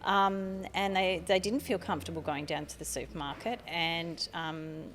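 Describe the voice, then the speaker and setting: Australian woman, middle-aged, earnest podcast guest, lower register, faint hiss behind. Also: steady tone, moderate pace, fairly bright tone. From Tasmania, Australia. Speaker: marketing manager guest. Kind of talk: podcast interview.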